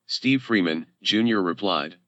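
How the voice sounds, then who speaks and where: A male narrator with a low voice and a slow, measured pace. His tone is bright and forceful as he reads scripted announcements in an audio story. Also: expressive, dry recording, muffled recording.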